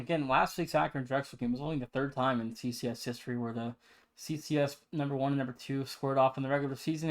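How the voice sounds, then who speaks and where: A man with a mid-range voice speaks as a sports podcast host. He runs through results and past seasons as analysis. His delivery is emphatic and opinionated. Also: medium pitch.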